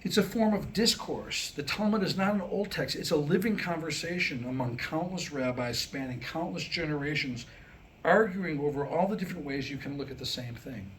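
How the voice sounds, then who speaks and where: A middle-aged man performs a comedic monologue to a live audience, with a voice that sits a little high and swings widely in pitch. He moves between one-liners and storytelling. The live recording carries some faint room noise behind him. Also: rough recording. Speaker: playwright performing a staged reading.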